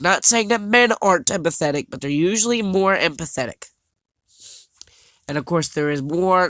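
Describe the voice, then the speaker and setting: A young man with a somewhat high voice talks casually over slides in a dry, close recording. He sounds stuffed up and a little scratchy, and he stumbles through his points with fillers and restarts. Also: a bit bright, no echo. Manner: halting, many fillers and restarts. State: congested, slightly scratchy voice. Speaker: vlogger.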